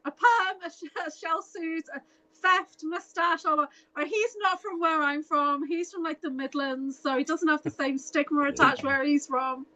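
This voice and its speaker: A middle-aged woman with a softened Scouse accent, chatting casually as a podcast guest and laughing often. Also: high-pitched, normal speed, rough recording. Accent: light Scouse, softened northern English. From near Liverpool, England.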